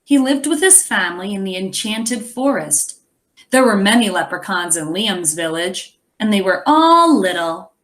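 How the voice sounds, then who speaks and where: A woman reading a picture book aloud as a teacher, expressively, pausing to explain and think aloud for young children. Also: mid-range voice, expressive, a bit bright.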